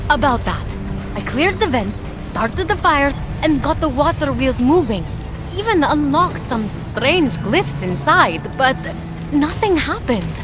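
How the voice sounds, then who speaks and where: An eager young heroine, bright and lively. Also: female voice, high voice, measured pace. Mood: earnest. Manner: eager, encouraging, urgent in danger. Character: young woman hero, upbeat and earnest. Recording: voice over clear game music.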